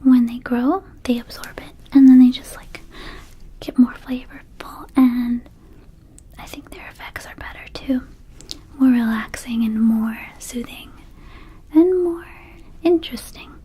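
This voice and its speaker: A woman playing a friendly, mischievous young vampire herb-shop keeper in a soft, soothing ASMR roleplay whisper. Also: a little high, unhurried, husky. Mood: sheepish, confiding. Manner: soothing, coaxing, hesitant asides.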